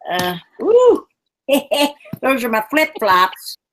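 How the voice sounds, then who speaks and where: Kobieta grająca gadatliwą 95-letnią babcię. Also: female voice, sits high, huge pitch swings, drawn-out words, a little hiss or hum, heavily acted. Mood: chatty. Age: older. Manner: rambling, folksy, sighing. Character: chatty 95-year-old granny puppet, sleepy.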